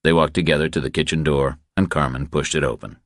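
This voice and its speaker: A man with an extremely low voice narrates a thriller audiobook. The sound is close and dry, as scripted storytelling. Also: steady tone, quick pace, a bit bright, dry recording.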